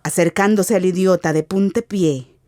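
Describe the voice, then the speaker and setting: A woman's voice: fairly low pitch, normal speed, darkish tone, slight background noise. A woman giving an ominous, theatrical narration of a literary radio drama.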